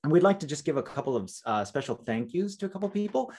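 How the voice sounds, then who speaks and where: A man's voice: on the high side, sing-song, fast, a little mellow, slightly rough. A middle-aged man hosting a virtual event, addressing viewers informally and courteously. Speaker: emcee, host. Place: indoors, small room with plain backdrop.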